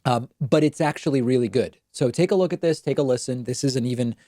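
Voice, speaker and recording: A man from Argentina whose first language is Spanish hosts a political talk show. He speaks conversationally at a middle pitch and thinks aloud as he goes. The sound is dry and close. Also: a little fast, warm tone, dry recording. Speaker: host.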